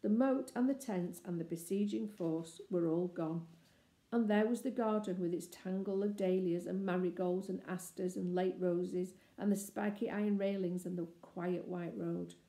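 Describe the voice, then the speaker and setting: A woman reading a children's novel aloud in expressive, scripted narration. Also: lowish voice, normal speed. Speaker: storybook reader. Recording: echoey, roomy sound.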